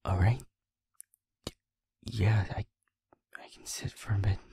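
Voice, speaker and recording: A man playing a stubborn, hurting young werewolf boyfriend in an intimate audio roleplay, with a husky, breathy delivery that often drops near a whisper and sounds earnest and strained. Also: lowish voice, fairly even intonation, warm tone, a little hiss or hum. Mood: evasive, reluctant. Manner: halting, earnest, emotionally strained.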